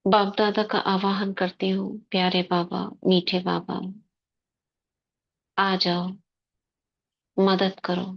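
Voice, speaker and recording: A woman guiding a spiritual meditation in slow, soft short phrases with long pauses. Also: medium pitch, completely flat delivery, gravelly, dull audio. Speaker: meditation guide. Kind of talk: guided meditation commentary.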